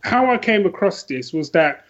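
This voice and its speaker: A man's voice: sits high, big pitch swings, normal speed, a bit bright, muffled recording. A man chatting casually as an interview guest, self-deprecating and prone to rambling asides. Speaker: podcast guest, author.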